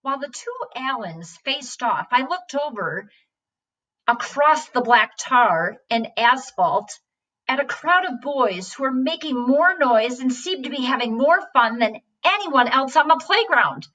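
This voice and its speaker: A woman reading a story aloud with expressive, deliberate phrasing. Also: high voice. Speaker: story reader. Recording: distant from the microphone.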